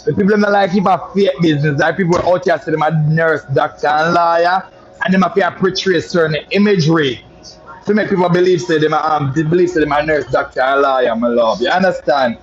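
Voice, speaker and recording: A man's voice: fairly high pitch, brisk, lo-fi sound. A Jamaican man with a boastful, flamboyant manner, telling a story in casual patois chat and calling listeners "my love". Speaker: social media personality.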